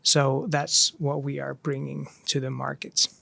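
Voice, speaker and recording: Gravelly man explaining his business. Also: medium pitch, monotone, a little slow, sharp tone, no echo. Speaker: startup CEO guest. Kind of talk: podcast introduction.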